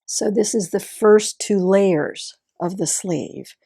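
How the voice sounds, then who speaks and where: A woman's voice: mid-range voice, slow, creaky, dark tone. A woman with a Texas accent casually walking through a sewing alteration, admiring and carefully explaining details as she handles a dress. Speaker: seamstress host. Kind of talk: casual show-and-tell sewing video.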